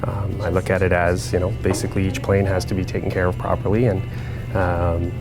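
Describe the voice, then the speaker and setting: A man with a low voice answers interview questions informally. He explains things in loose, hesitant phrases, with music playing clearly behind him. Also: little pitch movement, brisk. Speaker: air traffic controller guest.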